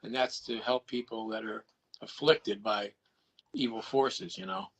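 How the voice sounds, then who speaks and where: A man's voice: on the low side, steady tone, measured pace, husky. A man on a video call chatting casually about paranormal investigation, rambling with lots of restarts and repeated words.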